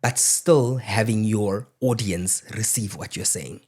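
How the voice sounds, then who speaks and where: A young man from South Africa whose first language is Afrikaans, talking in a measured, reflective and motivational way as a podcast guest. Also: fairly low pitch, darkish tone. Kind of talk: casual interview chat.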